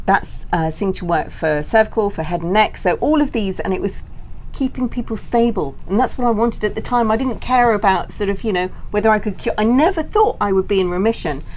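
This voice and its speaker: A woman's voice: medium pitch, slight background noise. A middle-aged British woman talking as an interview guest, explaining things informally in long, self-interrupting sentences.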